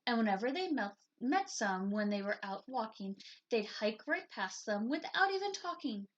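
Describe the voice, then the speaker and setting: A woman reads a children's picture book aloud as narrator. Her voice sits fairly high and moves up and down with very lively, expressive intonation. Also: moderate pace, dull audio.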